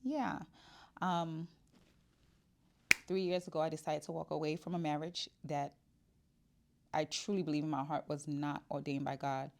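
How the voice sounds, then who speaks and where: A woman's voice: deep voice, flat delivery, moderate pace, creaky, long pauses, a little mellow, slight background noise. A woman, talking candidly and casually to the camera in her shop, with many fillers and restarts. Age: 40s. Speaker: vlogger. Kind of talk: casual personal vlog.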